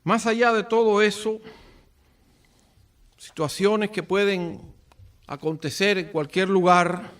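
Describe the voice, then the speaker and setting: A Cuban man with a Cuban accent giving a formal commemorative speech, solemn and rhetorical, with deliberate phrasing and dramatic pauses. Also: high-pitched, fairly bright tone. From Cuba. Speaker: speaker at a book presentation. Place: event at an office venue. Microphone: far from the speaker. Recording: distant microphone.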